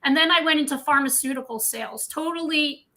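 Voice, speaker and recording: Bright, high-voiced older woman telling her story. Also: brisk. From Scotland. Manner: candid, chatty storytelling. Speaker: guest dietitian. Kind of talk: casual interview chat.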